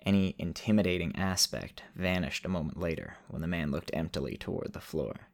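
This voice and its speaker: A deep-voiced man narrates a fantasy story. He delivers it in deliberate, clipped phrases, leaving dramatic pauses between them. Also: expressive, creaky.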